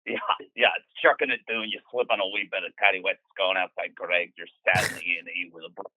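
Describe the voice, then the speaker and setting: Man doing a stammering Scottish con-man, sly deadpan, thin phone-line sound. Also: sits low, fast, no echo, voice pushed down. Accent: Scottish (put on). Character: shady Scottish male real estate swindler. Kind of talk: improvised comedy bit.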